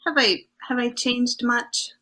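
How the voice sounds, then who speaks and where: A woman's voice: a little high, unhurried, bright, forceful tone. A woman playing an affectionate, playful detective's wife in 1940s radio drama style, with coy banter. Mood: affectionate, teasing.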